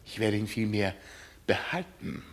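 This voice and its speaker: A haughty, wealthy gentleman in a radio crime play. He is sardonic and condescending, sets his phrases down deliberately, and his pitch swings widely. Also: expressive, a little hiss or hum. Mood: cold. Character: haughty, suspicious rich English husband, a titled gentleman. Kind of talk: German radio crime drama acting.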